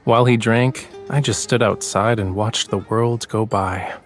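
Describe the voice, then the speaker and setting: A man plays a languid young male artist from a romance game. His low voice is soft and unhurried, with a playful, teasing manner. Guitar music runs gently behind him. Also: steady tone, natural voice. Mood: wistful. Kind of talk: romance game character dialogue. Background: background music with guitar.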